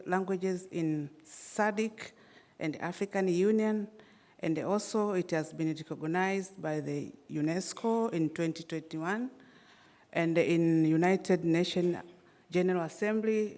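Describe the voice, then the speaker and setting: Slow-spoken Tanzanian woman presenting. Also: on the low side, darkish tone. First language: Swahili. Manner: measured, hesitant with frequent fillers and restarts. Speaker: conference presenter. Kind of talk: academic conference presentation. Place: conference hall.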